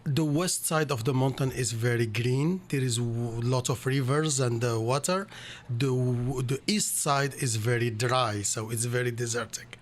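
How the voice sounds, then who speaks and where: A middle-aged man with a Moroccan accent, explaining things as an interview guest in halting, rebuilt phrases. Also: mid-range voice. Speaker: interview guest, computer science instructor.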